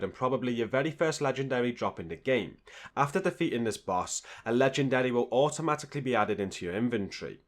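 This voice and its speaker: A man's voice: mid-range voice, fairly bright tone. A man giving scripted walkthrough commentary for a video game in a matter-of-fact, explanatory way. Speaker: game guide narrator.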